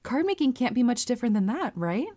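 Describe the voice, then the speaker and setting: A woman playing a polite, earnest, bashful young anime heroine with a crush, speaking formally and gently gushing in a roleplay audio. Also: on the high side, very animated, fast. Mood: curious, eager. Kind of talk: anime character roleplay audio, addressed to listener.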